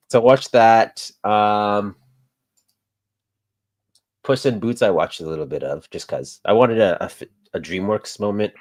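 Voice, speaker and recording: A man co-hosting a casual chat, relaxed and rambling, laughing as he talks. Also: fairly low pitch, takes it slowly, long pauses, dry recording. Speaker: co-host.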